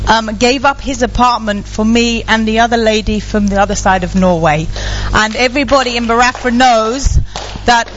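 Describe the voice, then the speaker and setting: A woman's voice: on the high side, normal speed. A woman giving an earnest, appreciative spoken tribute to someone at a gathering. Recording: dull sound, clear music behind.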